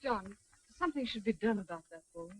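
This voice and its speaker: An actress playing a refined, gushing wife in a 1930s film. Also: female voice, mid-range voice, lively intonation, brisk, breathy edge, rough recording. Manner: gushing, airy.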